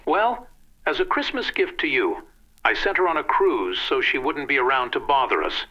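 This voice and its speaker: A man's voice: mid-range voice, big pitch swings, normal speed. A man playing a brash, sentimental jailbird ex-detective with theatrical delivery in an old-time radio drama. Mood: smug. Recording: echoey, dull recording, faint noise.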